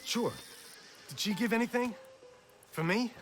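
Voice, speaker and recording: An actor playing an anxious, lovestruck young gentleman in a video game, fretful and well-spoken. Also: very high-pitched, a little slow, soft background music. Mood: hopeful, grateful. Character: anxious, lovestruck young male heir of genteel family. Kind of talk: naturalistic video game acting.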